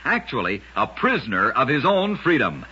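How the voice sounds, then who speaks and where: Husky, bright-voiced male radio narrator. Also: mid-range voice, wildly swooping pitch. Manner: dramatic, emphatic delivery with deliberate pauses. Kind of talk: old-time radio scripted oddity narration. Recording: dull, lo-fi old radio recording.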